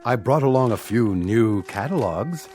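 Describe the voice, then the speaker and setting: A man's voice: mid-range voice, expressive, a little slow, a little mellow, faint music behind, close to an everyday voice. An actor playing an upbeat, pushy salesman in a radio drama, talking in breezy sales patter. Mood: salesy.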